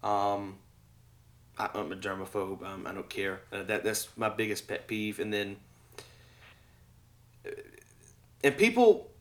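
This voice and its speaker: A man's voice: on the low side, unhurried, pauses often, fairly bright tone. A man hosting a casual podcast interview, rambling and chuckling between remarks. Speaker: podcast host.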